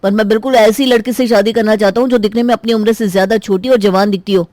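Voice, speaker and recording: Someone doing earnest polite young groom-to-be, cartoon story, mid pitch, dry audio. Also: fairly even intonation, quick pace, a little mellow, no echo, lightly acted. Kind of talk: Hindi cartoon voice acting.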